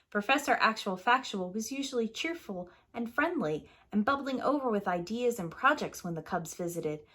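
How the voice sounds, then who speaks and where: A woman's voice: mid-range voice, a bit bright. A woman preschool teacher narrating a children's picture book as a storyteller.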